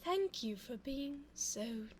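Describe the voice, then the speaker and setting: A woman playing a sly, evil, seductive immortal vampire in a close-miked ASMR audio roleplay, theatrical and coy, slipping into breathy asides. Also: high voice, expressive, slow, darkish tone. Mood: gloating. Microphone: close binaural 3Dio microphone. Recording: faint background noise, close binaural mic.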